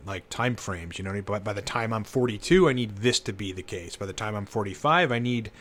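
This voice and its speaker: A man's voice: fairly low pitch, fast talker, fairly bright tone. A man in his late thirties talks casually and unscripted, rambling with many "you know"s and "like"s and swearing freely. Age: 30s. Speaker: channel host, entrepreneur. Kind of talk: casual unscripted monologue to camera.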